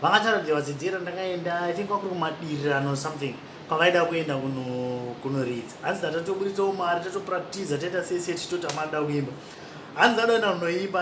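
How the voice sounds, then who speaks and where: A man hosting a podcast, giving chatty, rambling commentary and laughing at himself now and then. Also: on the high side. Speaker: podcast host. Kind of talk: casual commentary mixing Shona and English. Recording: echoey room with noisy background.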